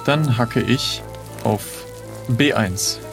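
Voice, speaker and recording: A man with a mid-pitched, creaky voice takes part in an improvised adventure game. He announces his moves casually, thinking aloud with hesitation. Soft music sits behind him. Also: very slow.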